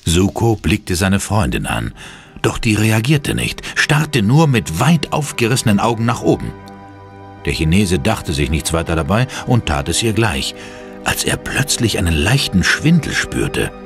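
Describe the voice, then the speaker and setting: A man narrating a horror audio drama in a measured, suspenseful, theatrical manner. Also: fairly low pitch. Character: male narrator of a horror radio play, ominous and suspenseful.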